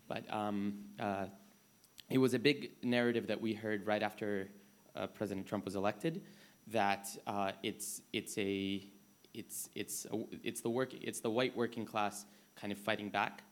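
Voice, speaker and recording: An American man speaks from the floor at a panel discussion. His voice sits a little low, and he talks in an unscripted way, repeating phrases as he builds his question. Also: level tone, unhurried. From United States. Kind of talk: spontaneous public question.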